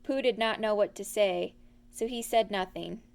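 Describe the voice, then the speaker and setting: A woman reading a children's story aloud as an expressive storyteller. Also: medium pitch, moderate pace, creaky.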